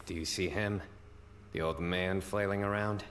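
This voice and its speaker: An actor playing a serious, composed young investigator in a video game, speaking in a measured, earnest way. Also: male voice, low voice, steady tone, fairly bright tone, a little hiss or hum. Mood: calm.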